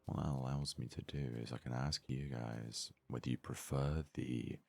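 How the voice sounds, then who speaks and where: A man with a deep, rough, gravelly voice gives soft-spoken, unhurried ASMR commentary as a gaming host. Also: steady tone. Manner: soft-spoken, low-key, unhurried musing. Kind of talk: ASMR let's play commentary.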